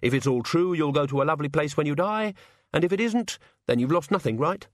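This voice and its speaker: A man's voice: on the high side, brisk, no echo, clean studio sound, voice pushed up, close to an everyday voice. A man voicing a smug, glib male philosopher making a clever argument. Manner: glib, persuasive.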